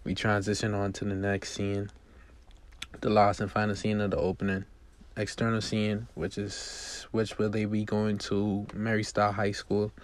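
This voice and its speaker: Lowish-voiced man narrating a script. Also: monotone, measured pace. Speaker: amateur screenwriter reading own script. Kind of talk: read-aloud script narration.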